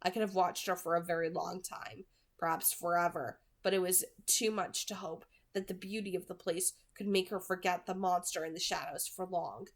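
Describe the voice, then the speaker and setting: A woman's voice: on the low side, steady tone, normal speed. A woman in her twenties who reads books aloud and talks about them. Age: 20s. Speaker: reader and reviewer on a read-aloud channel. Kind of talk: audiobook-style reading, then casual book commentary.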